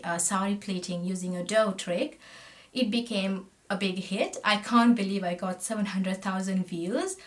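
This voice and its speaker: A woman's voice: medium pitch, fairly bright tone. A woman in her thirties from Sri Lanka, chatting at a close camera while doing her makeup, friendly and talkative, often trailing off mid-sentence. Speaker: makeup vlogger. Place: small indoor room, plain backdrop. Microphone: camera facing her at close range.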